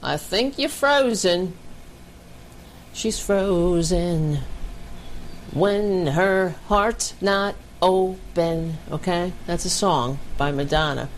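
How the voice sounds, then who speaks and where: A woman's voice: on the low side, drawn-out words, a little hiss or hum. A woman hosting a casual livestream chat over a video call, blunt and chatty, swearing freely. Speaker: podcast host.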